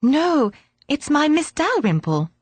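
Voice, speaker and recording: A curious, well-mannered young schoolgirl with a high, eager voice, as a woman reading a storybook puts her on. She speaks politely and keenly, and the reading sounds close and dry. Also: big pitch swings, slow, no echo, full character voice.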